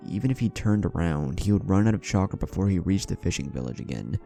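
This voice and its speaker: Man, very low pitch, dark mellow tone, dramatic story narration, music underneath. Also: expressive, quick pace, gravelly. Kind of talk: dramatic scripted fan fiction narration.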